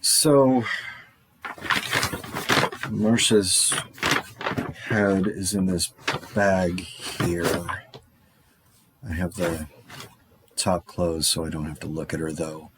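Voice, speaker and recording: A man's voice: low-pitched, fairly even intonation, extremely slow, pauses often, heavy background noise. An actor playing a lone man surviving a zombie apocalypse, talking in a rambling, darkly wry monologue for an audio drama. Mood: musing.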